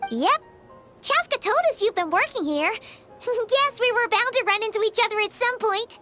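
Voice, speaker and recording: Squeaky, childlike fairy girl. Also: moderate pace, exaggerated voice. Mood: cheerful. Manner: excitable, cheeky, speaks of self in third person. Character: Paimon, tiny childlike floating fairy companion, chirpy, cocky. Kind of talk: animated video game acting. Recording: game music under the voice. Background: prominent music.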